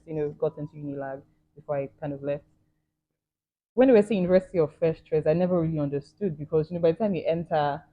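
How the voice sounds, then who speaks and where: An interviewer asking quick, casual questions and often adding short backchannels. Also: medium pitch. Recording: dull lo-fi sound.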